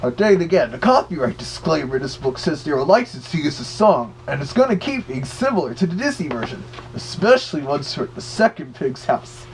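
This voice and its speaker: A man's voice: a little high, big pitch swings, fairly quick, hardly any pauses, slight background noise, poor audio. A man playing a wry, indignant big bad wolf who gives casual, exclamatory review commentary. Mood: wry, amused. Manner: exclamatory, mock-offended.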